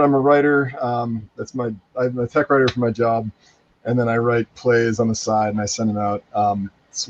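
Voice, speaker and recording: A man's voice: mid-range voice, darkish tone, dry recording. Rambling middle-aged man on a dull line. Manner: rambling, restarts often. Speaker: guest, voice actor and playwright. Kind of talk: casual chat. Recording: dull, dry close mic.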